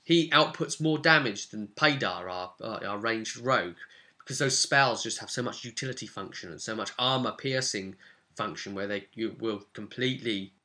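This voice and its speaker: A man casually recounting a tabletop role-playing session as game master, in an animated, chatty way with asides. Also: lowish voice, moderate pace.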